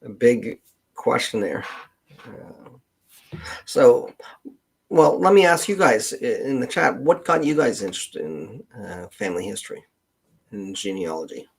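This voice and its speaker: A man with a Canadian accent hosting a casual livestream chat, meandering and thinking aloud in a halting way. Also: medium pitch, very animated, creaky, breathy edge. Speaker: livestream host, genealogist.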